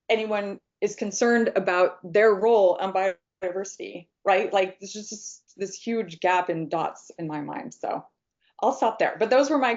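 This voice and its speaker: A woman's voice: mid-range voice, a little mellow. A woman talking in an online group discussion, casual and conversational, with lots of "like" and "um". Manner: conversational, many fillers like "like" and "um". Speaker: panel participant. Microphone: video call. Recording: muffled video call audio.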